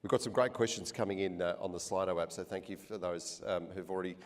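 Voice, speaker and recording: A man hosting an on-stage interview, asking questions in a relaxed, conversational way with many fillers. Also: low-pitched, quick pace. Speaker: event host interviewer. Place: large function hall. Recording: long hall reverb.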